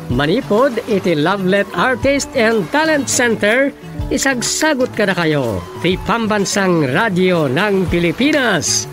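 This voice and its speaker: A man's voice: sits high, big pitch swings, a little slow. A man with an Ilocano accent announcing dramatically for a radio drama, reading a script. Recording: radio broadcast over music.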